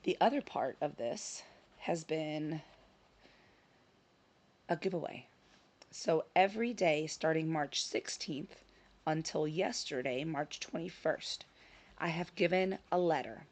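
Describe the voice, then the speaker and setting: A woman hosting her own vlog in casual chat, a little hoarse from a scratchy throat, talking with frequent ums and self-corrections. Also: sits low, takes it slowly, long pauses. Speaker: channel host. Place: her home in Sicily.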